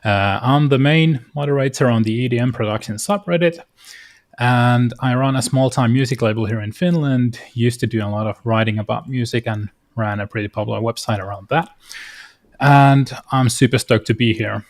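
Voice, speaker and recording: A friendly man in his thirties from Denmark introduces himself as a music community moderator. His voice sits at a middle pitch and his manner is upbeat and relaxed. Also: moderate pace. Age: 30s. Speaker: subreddit moderator and label owner.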